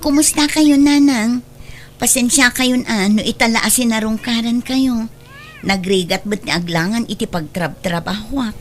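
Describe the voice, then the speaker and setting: An actress with a Filipino accent playing a responsible, earnest younger sister who works in the city, in a radio drama. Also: female voice, fairly high pitch, moderate pace, faint music behind, natural voice. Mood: concerned. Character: responsible, caring working younger sibling from the city, firm. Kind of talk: Ilocano radio drama acting.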